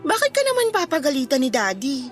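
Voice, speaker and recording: Young daughter, Filipino accent, high-pitched, sweet, considerate, candid, radio drama, light background music. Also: female voice, very animated, fast talker. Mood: tender, curious.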